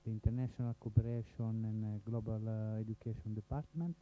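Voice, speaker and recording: Man from Italy, low voice, halting intro, narrow phone-like sound. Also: little pitch movement. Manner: hesitant, many fillers. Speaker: regional cooperation officer. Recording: phone-like thin audio.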